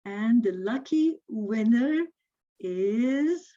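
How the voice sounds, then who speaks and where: A woman co-hosts an online community event and announces a raffle. She talks in a friendly way, with a few fillers. Her voice is mellow and warm, and it sounds slightly muffled. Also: a little high, expressive, extremely slow. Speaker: co-host running a raffle. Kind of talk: event hosting, partly scripted.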